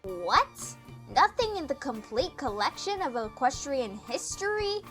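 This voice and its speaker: Woman voicing studious young cartoon mare, earnest, high-pitched, resolute. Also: very animated, measured pace, a bit bright, faint music behind, exaggerated voice. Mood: exasperated. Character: earnest, studious young female pony, urgent and determined.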